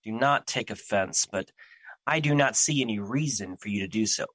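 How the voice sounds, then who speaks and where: A man's voice: lowish voice, normal speed, fairly bright tone, no echo. A man acting in an audio drama as a grave, brooding personified emotion who guards life, speaking in formal theatrical diction. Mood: polite, distant.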